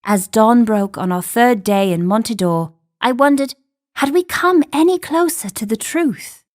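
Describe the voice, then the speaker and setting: A thoughtful young female assistant telling a game's story. Her fairly high voice is measured and pondering, as in scripted narration. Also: animated. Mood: pensive.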